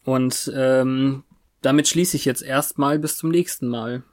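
A podcast co-host chatting casually about a TV series in a halting, spontaneous way. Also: medium pitch, steady tone.

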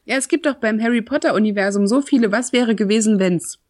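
A woman's voice: on the high side, fast, darkish tone, no echo, studio quality. A woman co-hosting a podcast, bantering casually and playfully about a TV series. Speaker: podcast co-host.

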